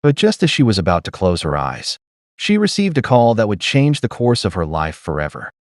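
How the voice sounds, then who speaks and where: Deep-voiced man narrating with animated pitch. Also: fairly quick, dry recording, studio quality. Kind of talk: scripted dramatic storytelling narration.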